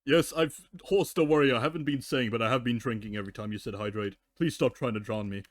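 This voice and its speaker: A man's voice: medium pitch, quick pace, no room sound, studio quality. A man who streams, reading fiction dramatically and bantering casually with teasing, foul-mouthed talk.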